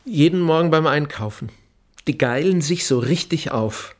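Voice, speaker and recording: An actor playing an irritable, suspicious writer who speaks curtly and with dry sarcasm in a film drama. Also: male voice, on the high side, lively intonation, normal speed, lightly acted.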